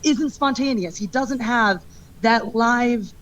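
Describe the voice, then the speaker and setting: A woman streamer and stand-up comic ranting in a rambling, petty and animated way. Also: on the high side, slow. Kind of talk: clip of a livestream rant. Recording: muffled clip with faint noise.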